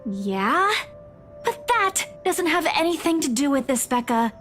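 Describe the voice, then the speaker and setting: A woman's voice: high-pitched, sing-song, measured pace, fairly bright tone, full character voice. An actress in a visual novel playing an anxious, caring, chatty young woman who stammers and pleads. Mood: concerned. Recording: music behind the voice.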